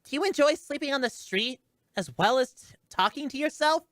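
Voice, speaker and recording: A woman's voice: sits high, animated, a little fast, no room sound, clean studio sound. A woman voicing a bossy android girl from a game, curt and haughty as she scolds and lays blame, with music playing. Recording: music behind the voice.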